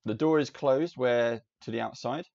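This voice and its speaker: A man narrating as game master in a relaxed, meandering way, in dull lo-fi audio with faint hiss. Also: medium pitch, moderate pace, creaky.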